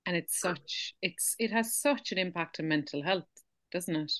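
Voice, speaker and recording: Woman hosting a chatty podcast, kinda low voice, dry close sound. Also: normal speed, fairly bright tone, no room sound. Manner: chatty, friendly, supportive. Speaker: podcast host. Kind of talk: casual interview chat.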